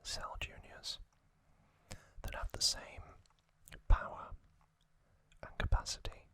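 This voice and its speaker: An ASMR host whispering a story recap in hushed, deliberate short phrases. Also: dry recording.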